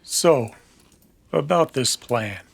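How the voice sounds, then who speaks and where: A man playing a wry, steady Old West bounty hunter in a radio western, drawling and sardonic. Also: mid-range voice, extremely slow, vocal fry, breathy edge, pitched above the performer's own voice, heavily acted. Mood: placating. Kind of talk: old-time western radio drama acting.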